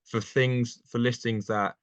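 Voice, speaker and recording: A young man from England chatting casually as an interview guest, relaxed and laughing often. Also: mid-range voice, a little slow, clear, resonant tone, dry recording. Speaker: guest, online seller.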